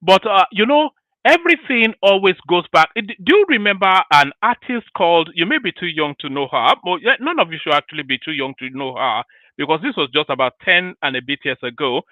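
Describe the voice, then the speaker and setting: A man's voice: fairly high pitch, bright, forceful tone, no echo, dull audio. A man hosting an opinionated commentary show, talking emphatically with rhetorical questions and repeated phrases.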